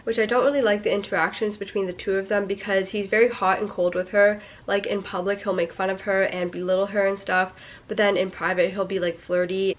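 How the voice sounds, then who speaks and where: A young woman reviewing a book in a casual vlog, her voice stuffy and nasal from a cold. She rambles and sprinkles in "like" as she picks apart the plot. Her pitch sits in an ordinary middle range. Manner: chatty, critical, heavy use of "like". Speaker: book vlogger.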